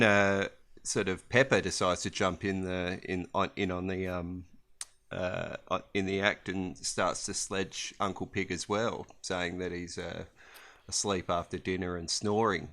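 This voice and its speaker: A man's voice: deep voice, flat delivery, unhurried, bright, forceful tone. A man co-hosting a casual podcast, hesitant with many ums and a dry wit. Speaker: podcast co-host. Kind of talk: casual chat.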